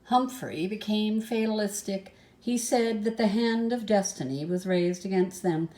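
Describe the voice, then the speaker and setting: An older woman reads a children's storybook aloud. Her pace is slow and her pitch is average for a woman. She tells it expressively, like a grandmother, with small hesitations. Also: mellow. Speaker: grandmother reading a picture book aloud. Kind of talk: storybook read-aloud with soft asides.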